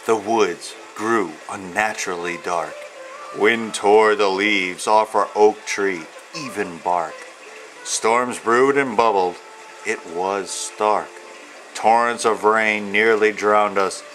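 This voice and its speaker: Male author-reader for a children's picture book, lower register, very unhurried, measured storytelling delivery with pauses. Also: fairly bright tone, rough recording. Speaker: author and illustrator reading own children's book. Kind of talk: read-aloud storytelling of rhyming children's book.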